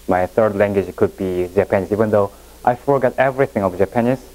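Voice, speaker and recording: Halting Korean-accented man presenting. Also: fairly low pitch, darkish tone, rough recording. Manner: halting, with frequent restarts and second-language phrasing. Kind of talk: classroom presentation.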